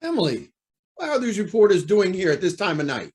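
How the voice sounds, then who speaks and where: Radio drama judge, acted by a man: proud, indignant, formal, fairly high voice with lively swings. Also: a little fast. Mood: curt, annoyed. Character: proud, indignant male judge under pressure. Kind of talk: old-time radio drama acting.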